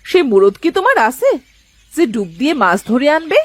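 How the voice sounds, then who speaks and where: A woman voicing a sharp-tongued, scornful village wife in a moral-story cartoon. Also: high-pitched, huge pitch swings, normal speed, no echo. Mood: scornful, scolding. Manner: sarcastic, scolding.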